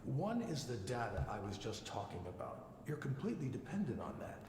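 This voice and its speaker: A man voicing a composed tech project psychologist in a video game, explaining things in a measured, lecturing way. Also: medium pitch, very animated, a little mellow, close to an everyday voice. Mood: measured, assured. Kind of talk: scripted game dialogue, lecturing. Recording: echoey hall with long reverb.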